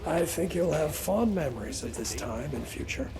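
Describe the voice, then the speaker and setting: An actor playing a brisk, gossipy prison guard in a fantasy video game. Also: male voice, fairly high pitch, sing-song, crowd noise. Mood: chatty, gossiping. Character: Bastian, bossy chatty prison guard man in fantasy.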